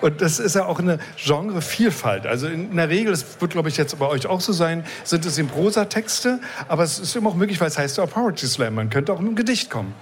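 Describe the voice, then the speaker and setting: Male radio host, pitch sits a little high, loose and meandering interviewer. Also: expressive, quick pace, few pauses. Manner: rambling, restarts sentences, chuckles.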